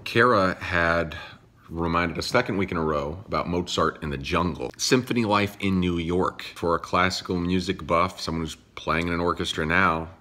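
A man's voice: sits low, normal speed, bright, forceful tone. A man in his thirties chatting casually to a phone camera in his living room, joking as he goes. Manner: chatty, jokey asides. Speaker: channel host. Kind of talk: casual vlog chat. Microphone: phone camera at arm's length.

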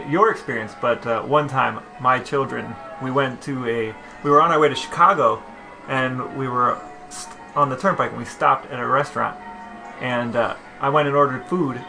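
A man's voice: medium pitch, moderate pace, music in the background, poor audio. A man co-hosting a podcast, chatting casually and telling personal stories. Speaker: podcast host. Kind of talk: casual conversational podcast talk.

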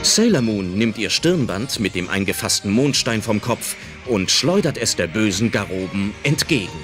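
A man's voice: fairly low pitch, big pitch swings, normal speed. A man narrating a children's audio drama in a scripted, dramatic storytelling style. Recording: loud music under the voice.

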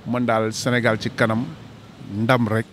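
A man's voice: medium pitch, monotone, unhurried, a bit bright, a little hiss or hum. A man speaking emphatically in a street interview outdoors, into a handheld mic. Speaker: football fan interviewed. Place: snowy city street outdoors.

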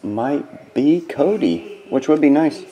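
A man hosting a live stream at a desk, calling out names in a slow, drawn-out casual way. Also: on the high side, expressive, warm tone, dull audio. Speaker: card breaker host. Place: indoor desk set with stacked boxes. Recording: noisy room.

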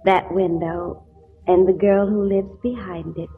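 An actress in an old radio drama playing a wistful, resigned young invalid in a tenement, speaking in an earnest, measured way. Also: female voice, on the low side, fairly even intonation. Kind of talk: 1940s radio drama acting. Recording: muffled old radio recording, music behind.

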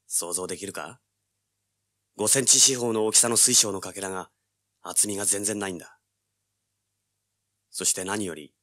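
An actor playing a self-assured, hard-boiled teenage treasure hunter, narrating and speaking in an audio drama. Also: male voice, lowish voice, a bit bright. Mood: intrigued, narrating. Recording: music behind the voice. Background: background music.

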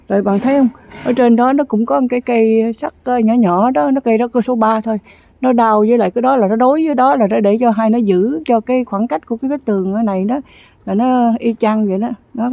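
A woman's voice: a little high, creaky, dark tone, dry recording. A woman giving a chatty, rambling walking commentary while touring a construction site outdoors, filming on a handheld phone. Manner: chatty, rambling, many fillers.

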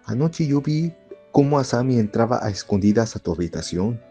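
A man's voice: mid-range voice, expressive, a little fast, mellow, husky, faint music behind. A man playing a blunt, tattling boy in an amateur comic fandub. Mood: teasing, blunt.

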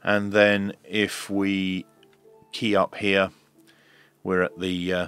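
A man giving a hands-on tech demonstration in a measured, hesitant way, as if thinking aloud. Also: low voice, fairly bright tone, dry recording. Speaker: host of a radio repair video.

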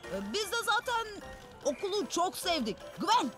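A woman's voice: very high-pitched, sing-song, normal speed, over loud music. A woman voicing a cheeky, impatient ten-year-old boy with a brash manner in a dubbed cartoon. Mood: dismissive, uneasy. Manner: brash, dismissive. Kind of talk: animated series dub acting.

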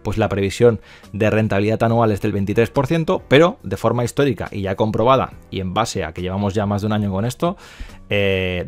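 A man's voice: lowish voice, a little mellow. A man with an accent from Spain giving casual, explanatory screen-share commentary as a video presenter, often repeating himself and checking in with little tag questions. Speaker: video presenter promoting crypto mining investments.

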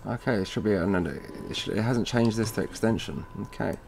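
A man with a fairly low voice presents an informal screen-recorded walkthrough. He talks casually, thinking aloud through each step. There is faint noise behind him, with some keyboard typing. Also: quick pace, vocal fry, darkish tone. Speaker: tutorial presenter. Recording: noisy room with keyboard typing.

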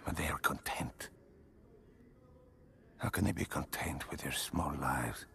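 A very gravelly, deep-voiced male villain, a menacing drug lord from a cinematic video game. He speaks in a hushed, measured, philosophical way full of scorn, over faint background noise. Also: exaggerated voice. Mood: quiet contempt.

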